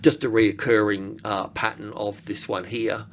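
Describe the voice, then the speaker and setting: Male market analyst, lowish voice, informal chart walkthrough, halting think-aloud delivery, faintly noisy recording. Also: level tone, creaky. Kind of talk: informal technical chart commentary.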